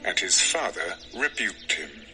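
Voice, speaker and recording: Guy reading bible story narration, deepish n solemn, lots of inflection, music behind. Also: sing-song, measured pace, pushed and bright. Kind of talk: scripted dramatized Bible narration.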